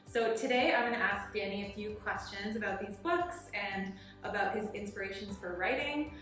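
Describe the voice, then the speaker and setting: A woman's voice: medium pitch, normal speed, a bit bright. A woman interviews in a casual, enthusiastic way, as an editor talking with an author. Her voice is mid-range and heavily washed in room echo, with music in the recording.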